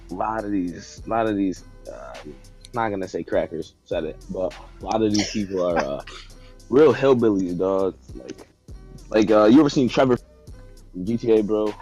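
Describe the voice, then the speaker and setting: A man casually telling a comedic story to friends in slangy, animated speech. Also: on the low side, moderate pace, poor audio. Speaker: podcast guest telling a story. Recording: music playing behind the voice. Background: background music.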